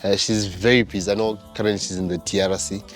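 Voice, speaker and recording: Male host, very deep, halting delivery, soft music underneath. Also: little pitch movement. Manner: hesitant, many ums and uhs. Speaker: show host. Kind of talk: casual spoken introduction.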